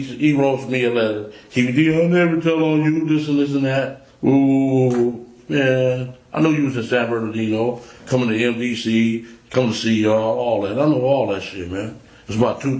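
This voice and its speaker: Male interrogation suspect, medium pitch, slangy and profane, insistent, recorded in an echoey room. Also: darkish tone. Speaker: suspect being questioned by police. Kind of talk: police interrogation, candid street talk. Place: small indoor police interview room.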